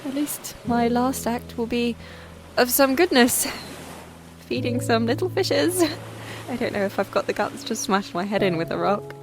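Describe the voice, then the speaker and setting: A woman's voice: on the high side, lively intonation, normal speed, background noise. A woman playing an injured, despairing castaway alone on an island, speaking a raw, weary diary monologue in an audio drama. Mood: bleak, resigned. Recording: music behind the voice.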